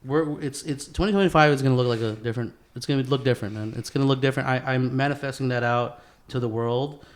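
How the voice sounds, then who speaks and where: A man's voice: mid-range voice, fast talker. A man hosting a casual podcast chat in a garage, speaking informally with slang and swearing. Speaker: podcast host.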